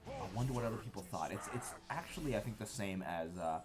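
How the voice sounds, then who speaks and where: A man's voice: on the low side, expressive, fast talker, music in the background. A man co-hosting a casual podcast stream, telling rambling stories about games. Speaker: podcast co-host. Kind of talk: casual chat over gameplay.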